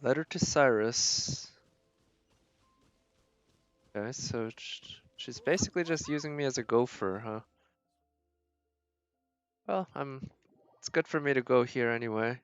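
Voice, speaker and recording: A man's voice: mid-range voice, unhurried, long pauses, clear, resonant tone, dry recording, dull audio, soft background music. A man giving casual, rambling gameplay commentary as a streamer, thinking aloud as he plays. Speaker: game streamer.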